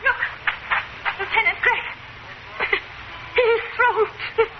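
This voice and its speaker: A woman playing a deranged, murderous wife, sweet on the surface and childlike, in slow, theatrical 1950s radio horror style on a muffled old recording. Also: very high-pitched, steady tone, heavy background noise, exaggerated voice. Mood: frightened. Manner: theatrical, slow, plaintive delivery.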